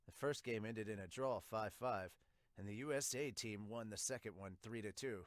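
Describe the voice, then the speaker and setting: A man's voice: fairly low pitch, normal speed, no room sound, clean studio sound. Casual man hosting a manga read-along. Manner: informal, frequently interjecting. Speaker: podcast host and manga reviewer. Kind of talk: casual reaction and read-aloud manga dub.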